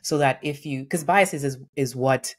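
Woman, forties, earnest and animated, interview guest, video call. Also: very deep voice, measured pace. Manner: earnest, animated storytelling with acted-out quotes. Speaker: guest, workplace equity consultant. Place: home room with painting behind.

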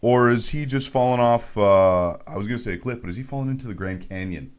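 A man's voice: medium pitch, fast talker, clean tone. A man, hosting casual sports radio with joking, teasing banter into a close studio mic. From Montreal, Canada. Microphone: close studio mic on boom arm.

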